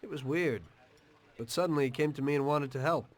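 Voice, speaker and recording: A man's voice: fairly high pitch, brisk, lightly acted. A man playing a weary, troubled gangster in a crime video game. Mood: weary, reflective. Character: weary, troubled male gangster, former taxi driver. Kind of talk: confessional narration and dramatic game dialogue.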